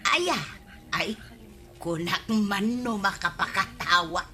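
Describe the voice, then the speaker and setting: An actress playing a carefree, debt-dodging village wife in an Ilocano radio comedy drama, with an Ilocano accent. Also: female voice, mid-range voice, lively intonation, slow, bright, forceful tone, faint music behind. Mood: amused.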